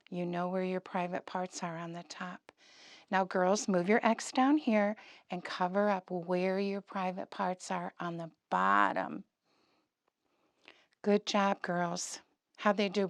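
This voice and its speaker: A woman leads an interactive lesson for preschoolers in a slow, mid-pitched voice. She speaks in simple instructions and questions with encouragement, and the sound is close and dry. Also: dry recording. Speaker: puppet show presenter for preschoolers. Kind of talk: interactive safety lesson for young children.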